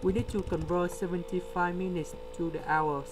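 A man with a delivery, explaining a word problem step by step in a screen-recorded lesson. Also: high voice. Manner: slow, step-by-step explaining with pauses. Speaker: tutor. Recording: steady electrical hum behind.

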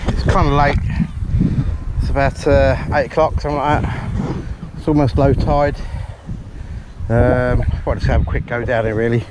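A man talks straight to his viewers as he detects along a pebbly beach at dusk, chatty and quick to swear when something thrills him. His voice sits at an everyday middle pitch. It is captured on a body-worn camera, with clear outdoor noise running underneath. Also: steady tone. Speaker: metal detecting vlogger. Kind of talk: excited running commentary while detecting. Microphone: body-worn action camera.

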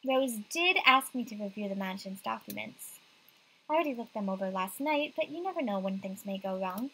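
A young woman reads visual novel narration aloud in a bright, medium-pitched voice. Faint background noise sits behind her. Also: expressive, fairly quick, low-quality recording. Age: young adult. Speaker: game streamer reading aloud.

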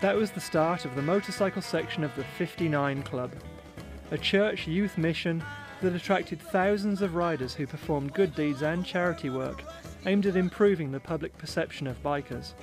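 A man giving scripted documentary narration in a measured, even delivery. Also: a little high. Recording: music bed playing underneath.

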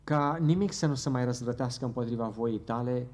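Middle-aged guy praying out loud at church, earnest, a bit halting, pulpit mic. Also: mid-range voice. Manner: earnest, fervent, halting at times. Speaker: preacher leading prayer. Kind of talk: closing church prayer. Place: church hall with wood paneling. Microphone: pulpit microphone.

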